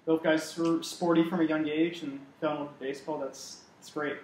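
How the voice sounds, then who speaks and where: A man's voice: on the high side, little pitch movement, a little fast, a little mellow. A young man hosting a casual sports interview, chatting informally with his guests. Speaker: interviewer, host. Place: living room, indoors. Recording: echoey room with faint background noise.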